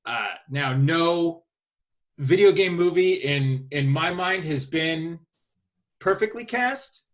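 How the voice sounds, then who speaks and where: A man hosting a podcast in casual chat, talking in a halting, thinking-aloud way. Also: a little high, animated. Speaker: podcast host.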